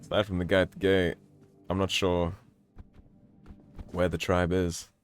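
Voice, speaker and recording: Very deep-voiced, slightly croaky gamer. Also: male voice, unhurried, faint music behind. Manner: relaxed, wry, self-mocking. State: slightly croaky from a sore throat. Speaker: let's play host. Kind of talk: casual gameplay commentary.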